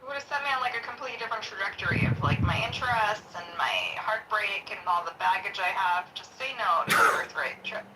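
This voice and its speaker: A woman on a live audio chat, laid-back, arguing back calmly and laughing easily. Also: mid-range voice, fast, few pauses, far from the mic, very noisy. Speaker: chat guest. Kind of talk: casual chat.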